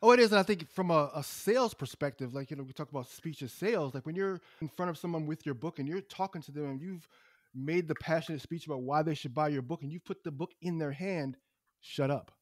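Quick, animated male host, somewhat high voice, dry close sound. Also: dry recording. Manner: animated, quick, acts out little scenes. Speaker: podcast host. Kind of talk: conversational podcast interview.

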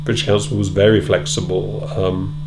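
A middle-aged man being interviewed in a wood-panelled room, answering in a measured, thoughtful way with many ums and repeated words. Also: deep voice, flat delivery, vocal fry. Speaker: interviewee, former British Council officer. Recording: loud hum behind.

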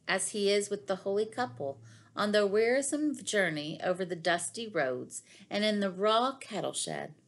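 A middle-aged woman reading devotional material aloud in a measured, deliberate way with pauses between phrases. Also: mid-range voice. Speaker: devotional reader. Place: small living room at home, indoors.

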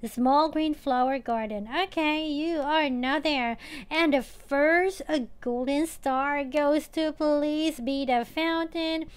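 A woman with a high, light voice chats through her game session as she records it at home. She thinks out loud, drops many filler sounds, and complains in a playful, whiny way to her viewers. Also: takes it slowly. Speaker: gaming channel host. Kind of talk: casual game commentary. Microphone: tablet or phone mic.

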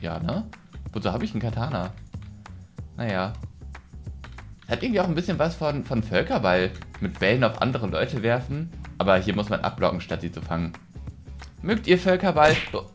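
Expressive young man doing gaming commentary. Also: medium pitch. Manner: expressive, self-deprecating, thinking aloud. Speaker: gaming video host. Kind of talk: let's play commentary, casual chat. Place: small room at home, indoors. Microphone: desk studio microphone, close.

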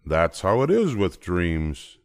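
A man voicing a calm papa bear father who explains things reassuringly in a storybook reading. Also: low voice, slow, smooth, heavily acted.

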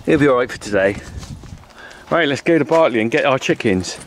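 A man's voice: medium pitch, animated, fairly quick. A middle-aged man, chatting cheerfully to his handheld camera as a farmer vlogger, asking plenty of questions. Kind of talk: casual vlog chat. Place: outdoors on a farm and in a car. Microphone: handheld camera selfie. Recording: noisy outdoor camera audio.

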